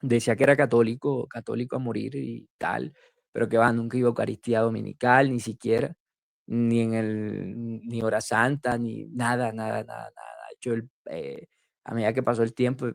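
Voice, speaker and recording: Man, gravelly voice, dry close sound, hesitant testimony, lots of fillers. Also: mid-range voice, normal speed, no room sound. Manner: hesitant, many fillers and restarts. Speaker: interview guest sharing testimony. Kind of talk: candid personal testimony.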